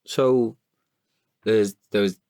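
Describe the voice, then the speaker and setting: A man, an actor, talking reflectively about himself in an interview, haltingly and with pauses mid-sentence. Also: lowish voice, steady tone, mellow, dry recording. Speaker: actor and guest writer. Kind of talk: reflective personal interview talk.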